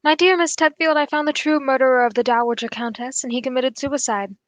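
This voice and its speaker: A woman's voice: high voice, fairly even intonation, fairly quick, no echo, lightly acted. A woman playing a keen, assured male English detective in a radio drama, speaking in a formal, analytical way.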